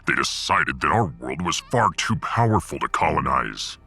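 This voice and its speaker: A man's voice: extremely low pitch, sing-song, moderate pace, creaky, bright, forceful tone, heavily acted. A man voicing a tough, boastful military security chief, blunt and self-important, in a sci-fi audiobook. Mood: self-assured. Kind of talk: sci-fi audiobook character acting. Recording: faint music behind the voice.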